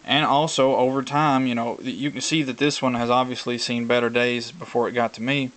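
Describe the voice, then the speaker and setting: Man giving a casual, halting walkthrough. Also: mid-range voice, fairly even intonation, fairly bright tone. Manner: halting, explanatory, thinking aloud. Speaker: hobbyist video presenter. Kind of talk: casual hands-on tutorial talk.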